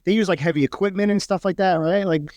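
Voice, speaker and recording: Relaxed podcast host with a slightly high voice. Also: male voice, fast, no echo. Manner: relaxed, curses casually, frequent "yeah" backchannel. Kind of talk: casual interview chat.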